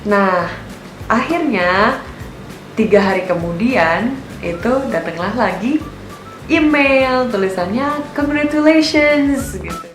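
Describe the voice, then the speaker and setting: A woman's voice: a little high, expressive, slow pace, pauses often. A young woman telling a personal story in a casual, candid voice-over. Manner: chatty and candid, with lots of filler words. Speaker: vlogger narrating her own experience. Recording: echoey room with music behind. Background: background music.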